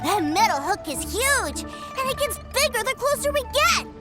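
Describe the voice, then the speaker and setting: Little boy, childlike, naive, wide-eyed, very high animated voice, game character, background music. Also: normal speed. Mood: amazed, eager. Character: eager, naive little boy, curious and innocent.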